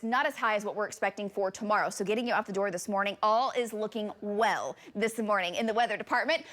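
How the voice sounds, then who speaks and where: A woman's voice: on the high side, a bit bright. A woman meteorologist giving a morning TV weather forecast in a studio, explaining the maps in a plain, conversational way.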